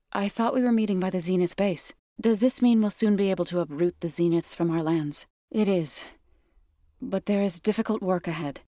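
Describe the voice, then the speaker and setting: Low-pitched, earnest warrior woman, played by an actress. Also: mellow, no room sound, clean studio sound, close to an everyday voice. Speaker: video game character. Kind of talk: scripted video game dialogue.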